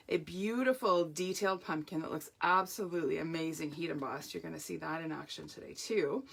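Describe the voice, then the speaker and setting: Middle-aged woman, lowish voice gone hoarse and croaky, upbeat live craft-demo host. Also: a bit bright. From Canada. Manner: chatty and upbeat, greeting viewers by name. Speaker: craft demonstrator.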